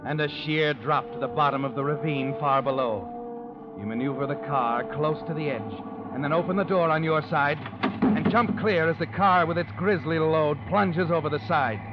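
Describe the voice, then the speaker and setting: A sinister, all-knowing storyteller, performed by a man in 1940s radio noir style. His slightly high voice is ominous and insinuating, set against clear music on a dull old recording. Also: moderate pace, lightly acted. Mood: ominous, suspenseful. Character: sinister all-knowing male narrator haunting a guilty man.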